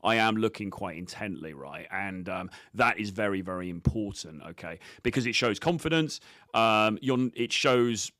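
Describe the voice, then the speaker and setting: A man's voice: fairly low pitch, animated, moderate pace, a bit bright, dry recording, studio quality. A man giving informal coaching commentary on video clips, blunt, sweary and self-assured. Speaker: dating coach presenter.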